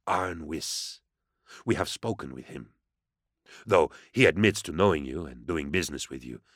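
A man voicing an amiable but menacing interrogator in an audiobook, measured, courtly and sardonic, with theatrical mockery. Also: extremely low pitch, expressive, a bit bright, dry recording, studio quality, heavily acted. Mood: cool, probing. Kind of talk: audiobook character acting.

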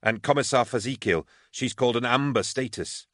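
A man's voice: medium pitch, a little fast, a bit bright, dry recording, clean studio sound, pitched above the performer's own voice, natural voice. Man as an anxious, urgent woman trooper. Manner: urgent, deferential. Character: anxious young-sounding female army trooper reporting to superiors. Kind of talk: audiobook character acting.

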